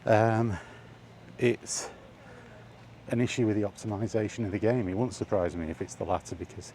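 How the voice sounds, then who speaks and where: A man's voice: on the low side, fairly even intonation, moderate pace, mellow. A man chatting casually and rambling in a wry, grumbling way while walking outdoors. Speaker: vlogger. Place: outdoors on a walk, under trees. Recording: faint outdoor ambient noise.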